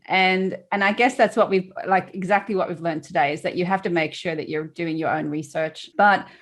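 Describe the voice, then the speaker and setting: A woman's voice: fairly low pitch, brisk. A woman from South Africa with a South African accent, hosting a podcast in casual, chatty conversation with many asides. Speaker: podcast host.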